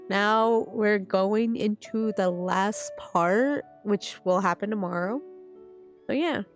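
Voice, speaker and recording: A woman game streamer giving casual live commentary on a playthrough. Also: on the high side.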